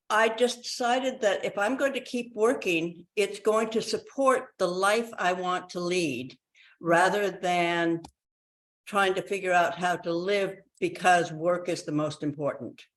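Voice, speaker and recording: A woman's voice: lowish voice, fairly even intonation, slow pace. A woman in her eighties speaking as an interview guest, telling her own story in a reflective, conversational way. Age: 80s.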